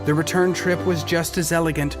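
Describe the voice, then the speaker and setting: A man's voice: fairly high pitch, level tone, fairly quick. A man reading scripted story narration in an audio drama. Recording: loud music under the voice. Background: music.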